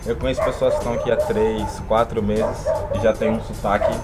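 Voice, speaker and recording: Quick-talking young São Paulo man. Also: on the low side, level tone, loud music behind the voice. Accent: São Paulo Brazilian. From Brazil, São Paulo. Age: 20s. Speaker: host, interviewer. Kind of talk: casual interview chat.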